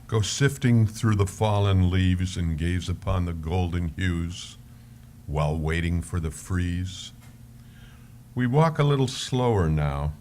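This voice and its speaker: Slow, low-voiced tender elderly husband, acted by a man. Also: a little mellow. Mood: tender, wistful. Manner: measured, poetic, tender. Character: elderly husband, tender, wistful, devoted to his wife. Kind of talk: dramatic reading of a love letter. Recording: live stage recording with background noise.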